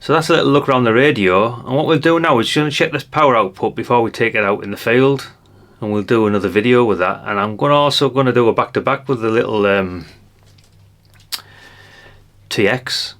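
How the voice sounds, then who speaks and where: A man's voice: medium pitch, brisk, fairly bright tone. An older man giving a casual hands-on product demonstration, chatty and unscripted, thinking aloud as he goes. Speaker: presenter of a radio gadget review channel.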